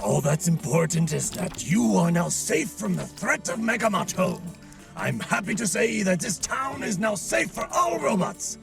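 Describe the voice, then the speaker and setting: Cartoon robot puppet-show villain, very high animated voice, folksy and theatrical, loud music behind. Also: male voice, vocal fry, full character voice. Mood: triumphant narrating. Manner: dramatic, drawn-out showman delivery. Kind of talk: cartoon voice acting, storytelling.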